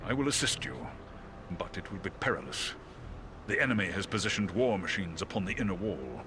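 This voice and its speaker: Deep, stately great eagle. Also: male voice, expressive, noisy background. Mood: grave, resolute. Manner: formal, stately, measured. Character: great noble talking eagle, grave and courteous. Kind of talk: video game cutscene acting.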